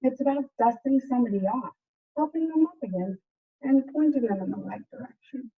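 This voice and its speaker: A woman teacher reading a storybook aloud to students in an animated, chatty way, with casual asides. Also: sits high, fairly quick, breathy edge, phone-quality sound. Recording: distant mic, thin band-limited sound.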